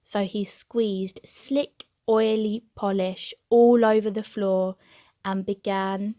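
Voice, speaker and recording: A woman reading a children's storybook aloud as an expressive narrator. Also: mid-range voice, slow pace, no echo, clean studio sound. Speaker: storybook reader.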